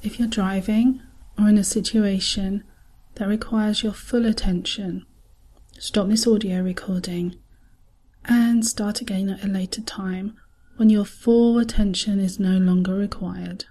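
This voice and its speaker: A woman narrating a scripted guided visualisation in a calm, soothing, soft voice with breathy edges and long pauses. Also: mid-range voice.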